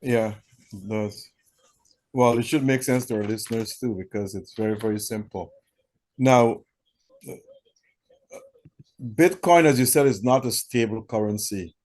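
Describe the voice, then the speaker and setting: Man asking measured interview questions. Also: on the low side, a little mellow. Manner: measured questioning, repeats key points back. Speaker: interviewer host.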